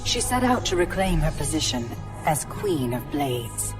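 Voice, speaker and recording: A woman performing a solemn narrator of a space war saga, telling it in a measured, dramatic way. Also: sits low, sing-song. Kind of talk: scripted story recap narration. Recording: loud music under the voice. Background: music.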